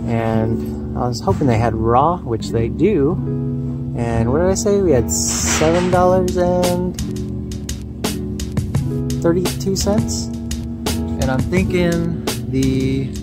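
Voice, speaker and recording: A young man talking casually to the camera in a relaxed, chatty way with lots of fillers, first in a store and then from his car. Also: on the high side, slow, long pauses, loud music behind the voice. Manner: relaxed, chatty, self-mocking, many fillers. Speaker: fishing vlogger. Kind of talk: casual vlog talk to camera. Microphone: camera mounted on dashboard.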